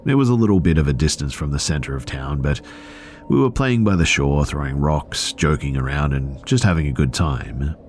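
Very deep-voiced man narrating. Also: lively intonation, moderate pace, a little mellow, slight background noise. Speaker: narrator reading a listener's story. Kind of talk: scripted first-person narration of a true scary story.

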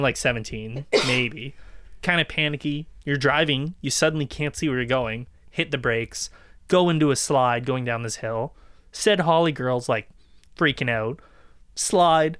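A man's voice: on the high side, fairly bright tone, dry recording, clean studio sound. A man with a Canadian accent telling anecdotes casually as a podcast co-host, informal and repeating himself for emphasis. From southwestern Ontario, Canada. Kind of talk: casual chat, anecdotal storytelling.

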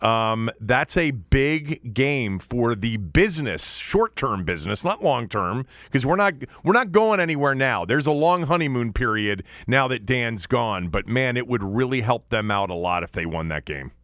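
A man's voice: mid-range voice, expressive, moderate pace, few pauses, fairly bright tone, dry recording, studio quality. A man hosting a sports talk show in a conversational style. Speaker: sports talk radio host.

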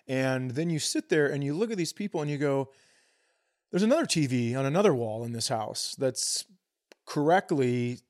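A man's voice: medium pitch, animated, moderate pace, darkish tone. Blunt man telling casual comic stories. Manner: foul-mouthed, blunt, acts out quoted exchanges. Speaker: comedy podcast co-host. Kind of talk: casual comedic storytelling.

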